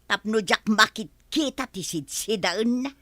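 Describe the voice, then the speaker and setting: An actress with an Ilocano accent playing a put-upon, quarrelsome village wife in an audio drama, given to exclaiming and complaining. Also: female voice, medium pitch, expressive, measured pace, bright, forceful tone, no echo. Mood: complaining, chatty.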